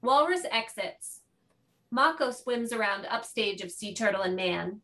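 A woman's voice: medium pitch, lively intonation, measured pace, bright, forceful tone. A woman giving scripted narration, reading out the stage directions of a play.

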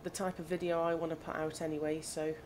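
A woman with a slightly croaky voice from hay fever, chatting casually and thinking aloud as she plays. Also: sits low, little pitch movement, fast, a little mellow. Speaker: gaming channel host. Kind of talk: casual gameplay commentary. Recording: audible background noise.

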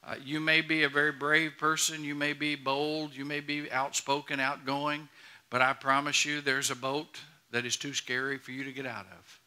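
A man's voice: on the high side, little pitch movement, normal speed, pushed and bright, smooth. A middle-aged man preaching a sermon as a pastor, earnest and emphatic, often repeating and restarting phrases. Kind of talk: sermon to a congregation. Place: large church auditorium, on stage before a congregation.

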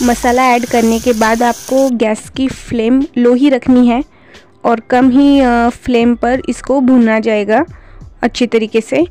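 A woman hosting a home cooking channel, calmly explaining a recipe step by step in a voice-over. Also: a little high. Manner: calm, instructive, homely explanations.

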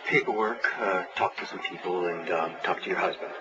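Low-voiced actor as a private eye, noisy muffled tape. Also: male voice, fairly quick, lightly acted. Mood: businesslike. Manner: casual, firm, blunt. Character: dogged, wry male private investigator. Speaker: private investigator. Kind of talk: naturalistic audio drama acting.

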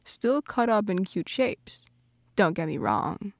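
A woman playing an affectionate, teasing young girlfriend in an ASMR roleplay, intimate and often breathy. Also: medium pitch, lively intonation, slow pace, dry recording, exaggerated voice. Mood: playful, intimate. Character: playful, affectionate young girlfriend on a night drive.

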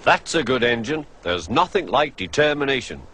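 A man playing a stern older male railway boss who speaks in a firm, measured way, in a storybook reading. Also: medium pitch, sing-song, fairly bright tone, slightly rough.

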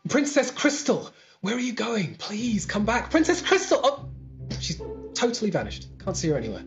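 A man's voice: high-pitched, huge pitch swings, normal speed, soft background music, full character voice. A young man playing a lovestruck, slightly dim pantomime prince in a hammy style, recorded dully over a video call at home. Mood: anguished. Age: young adult. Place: living room at home. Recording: dull video-call sound.

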